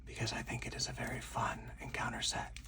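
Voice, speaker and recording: Whispered voice, breathy, slow and deliberate, ASMR tabletop playthrough, slightly distant microphone. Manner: slow, deliberate, hushed delivery. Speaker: solo card game player and host. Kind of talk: ASMR whispered card game playthrough with commentary.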